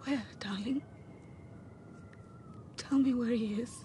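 An actress playing a distraught, guilt-ridden mother in a radio horror drama, with a halting, breathless and emotional delivery. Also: on the high side, dark tone, slight background noise. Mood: shaky.

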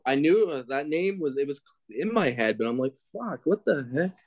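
A man's voice: a little high, lively intonation, moderate pace, dry recording. A man guesting on a podcast, chatting casually, searching aloud for answers and cursing at himself when he blanks. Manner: fumbling, self-deprecating, swears when stuck. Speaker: podcast guest, film critic. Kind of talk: casual chat.

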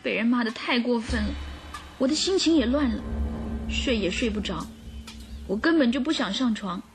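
Radio drama, accused young governess: high voice, earnest and emotional, music underneath. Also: female voice, animated, moderate pace. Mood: anxious recounting.

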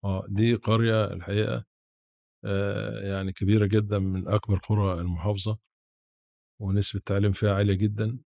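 Interview guest: Egyptian male, seventies, lowish creaky voice, reminiscing haltingly with restarts. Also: little pitch movement, warm tone, no room sound, studio quality. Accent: rural-born Egyptian Arabic. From Sharqia, Egypt. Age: 70s. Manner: halting, with repeated false starts. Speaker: podcast guest, academic.

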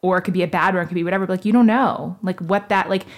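A woman's voice: on the low side, fairly even intonation, very fast. A woman interviewing in a casual podcast conversation, chatty and affirming, peppering her talk with "like". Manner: chatty, affirming, heavy use of "like".

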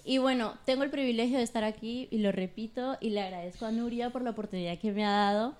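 A woman's voice: on the high side, fast talker, a little mellow. Peruvian woman on a radio chat show. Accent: Peruvian Spanish. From Peru. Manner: earnest, grateful, laughs easily. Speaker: guest manicurist.

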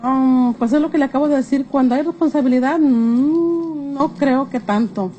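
A woman with a Mexican accent chats through her interview answers. Her voice is fairly high and soft-edged in tone, with faint noise behind it. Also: normal speed, muffled recording. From Jalisco, Mexico. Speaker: survey respondent. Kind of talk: casual spoken interview answers. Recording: slightly noisy on-location interview recording.

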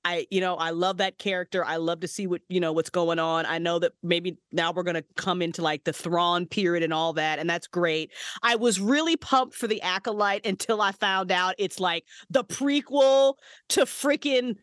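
A woman's voice: on the low side, normal speed, no echo, clean studio sound. A woman guest on a casual podcast interview, ranting passionately and swearing freely. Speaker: podcast guest, writer and voice actress.

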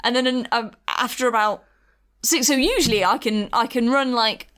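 A British woman co-hosting a podcast in casual chat, jokey and quick to laugh. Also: fairly high pitch, fairly bright tone. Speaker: podcast co-host.

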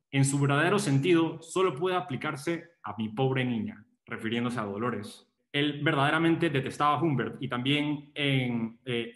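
A man leads a casual book discussion with lively energy, now and then reading passages aloud. His voice sits at an ordinary middle pitch. The room gives his voice a clear echo. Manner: animated, reads quotations aloud.

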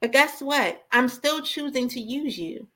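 A woman's voice: high-pitched, animated, a little slow, pushed and bright. A woman giving an impassioned, informal sermon as a preacher, exhorting emphatically and repeating key phrases.

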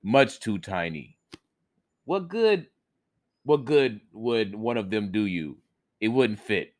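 A man's voice: on the low side, expressive, slow. A man voicing a small mouse in a folktale, hesitant and reluctant.

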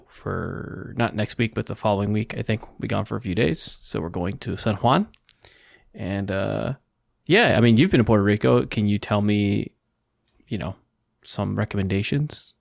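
Low-voiced laid-back male co-host. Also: normal speed, gravelly, dry recording, clean studio sound. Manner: teasing, laid-back, joking. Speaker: podcast co-host. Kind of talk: casual gaming podcast chat.

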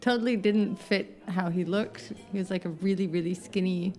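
A woman's voice: lowish voice, fairly even intonation, moderate pace, a little mellow. A woman from the United States, chatting as a podcast guest, laughing often and wandering into asides. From United States (Southern Oregon). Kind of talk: casual chat.

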